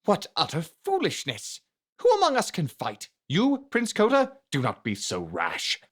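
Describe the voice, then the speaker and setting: A man's voice: a little high, huge pitch swings, measured pace, a bit bright, slightly rough, voice pushed up, full character voice. Guy doing a snooty outraged nobleman, voice shoots way up, scornful, fantasy audiobook. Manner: incredulous, theatrical outbursts. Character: scornful, indignant male nobleman.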